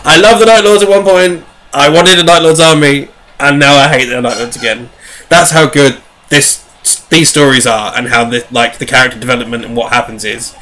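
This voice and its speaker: A man's voice: on the high side, sharp tone. A man co-hosting a casual fan discussion of sci-fi novels, enthusiastic and rambling, often restarting his sentences. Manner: casual swearing. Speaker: podcast co-host.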